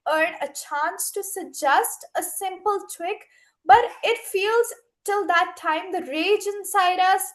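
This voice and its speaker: High-pitched young woman, earnest speaker. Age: young adult. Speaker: youth speaker at an online summit. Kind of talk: impassioned panel remarks.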